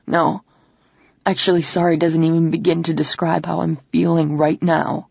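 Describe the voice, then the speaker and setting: A woman's voice: sits low, little pitch movement, moderate pace, vocal fry, a little mellow, husky, no echo, highs cut off, heavily acted. Woman voicing a remorseful, commanding man. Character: remorseful, commanding man explaining his faked death.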